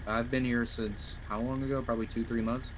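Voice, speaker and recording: A man's voice: medium pitch, little pitch movement, fairly quick. A man negotiating casually in an online roleplay game, blunt and assertive. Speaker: roleplay gamer, faction negotiator. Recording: noisy game voice chat.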